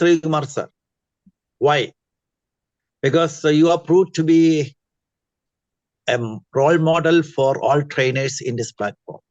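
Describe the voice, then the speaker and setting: A man's voice: on the high side, dry recording. A man with an Indian accent giving a congratulatory, exhorting feedback speech as a trainer on an online call. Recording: muffled online meeting audio.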